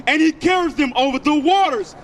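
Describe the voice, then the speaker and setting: Male scripture reader, extremely high pitch, declamatory delivery, long echo, some traffic noise. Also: brisk, a bit bright. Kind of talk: loud, declamatory Bible reading aloud. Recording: reverberant, distant, with street noise.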